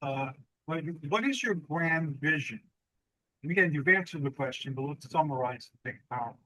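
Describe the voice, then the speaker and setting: A male host interviewing a candidate, reflective and measured, with occasional fillers. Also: fairly high pitch, animated, bright, forceful tone, low-quality recording. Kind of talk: interview hosting.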